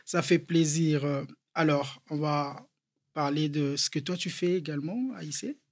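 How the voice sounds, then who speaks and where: Husky-voiced male interview host. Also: a little high, a little slow. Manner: friendly, curious, asks short questions and reacts with laughs. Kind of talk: interview conversation.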